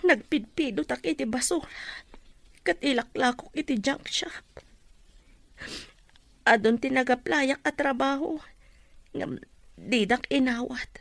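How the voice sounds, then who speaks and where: A woman's voice: high-pitched, measured pace, pauses often, fairly bright tone, no room sound. A woman acting a humble young pregnant woman from a poor background in an Ilocano radio drama. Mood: sad, humble. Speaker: voice actor in an audio drama.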